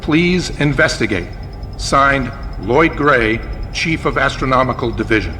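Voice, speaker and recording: A man playing a formal, inquisitive radio news reporter in an old-time broadcast drama, with a deliberate announcer delivery. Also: mid-range voice, animated, sharp tone, long reverb tail, a little hiss or hum. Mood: formal, urgent.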